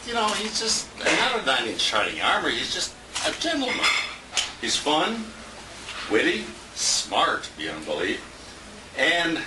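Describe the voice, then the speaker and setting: A man gives an informal, anecdotal tribute speech. His pitch moves around a lot as he rambles and chuckles. The handheld recording is roomy, with clear noise behind him. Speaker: radio colleague giving a tribute. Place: restaurant dinner event, indoors. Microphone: handheld compact camera at a distance. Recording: distant handheld camera, roomy, noisy.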